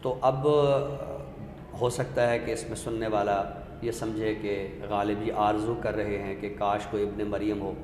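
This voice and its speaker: A man giving an explanatory literary talk, deliberate and didactic, repeating his points for clarity. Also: lowish voice, little pitch movement. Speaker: literary expert guest on a discussion show. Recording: echoey hall-like room with noise.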